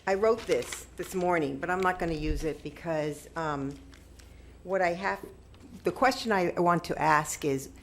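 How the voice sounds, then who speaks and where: A woman with a very deep voice speaks at a council podium. She is earnest and searching, with a gravelly edge to her voice. Faint room noise runs behind her. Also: a little slow. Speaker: public commenter. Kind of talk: public comment.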